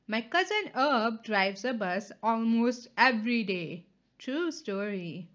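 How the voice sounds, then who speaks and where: A woman's voice: a little high, lively intonation, slow pace, a bit bright, muffled recording. A woman voicing a pushy, pleading pigeon from a children's storybook, insistent, emphatic and prone to tantrums. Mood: wheedling.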